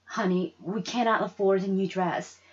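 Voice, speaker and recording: A woman's voice: mid-range voice, fairly even intonation, normal speed, breathy edge, lo-fi sound, lightly acted. A woman voicing a hard-working, firm but caring mother in a storybook reading. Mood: firm, weary.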